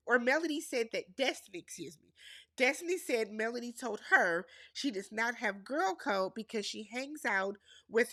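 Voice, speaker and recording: A woman's voice: fairly high pitch, bright, forceful tone, dry recording, clean studio sound. A woman with a Wisconsin accent recapping a reality show, nasal and congested from a cold, opinionated and chatty with her viewers. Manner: opinionated, addresses viewers as y'all. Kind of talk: casual recap chat.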